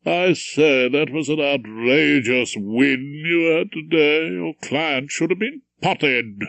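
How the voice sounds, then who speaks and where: A man's voice: on the high side, slow, vocal fry, fairly bright tone, no room sound, exaggerated voice. A man doing a brusque, mirthless old judge. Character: overbearing older male judge, mirthless.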